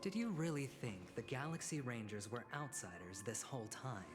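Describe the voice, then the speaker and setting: A man playing a sly, smooth-talking schemer in a video game, deliberate and insinuating in manner. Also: medium pitch, sing-song, close to an everyday voice. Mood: ominous, insinuating. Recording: game audio with music underneath.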